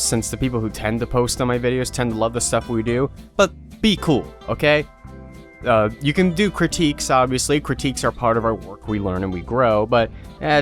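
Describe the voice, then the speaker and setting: A man speaking informally and directly to viewers as an online creator, explaining guidelines in a casual video announcement. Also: medium pitch.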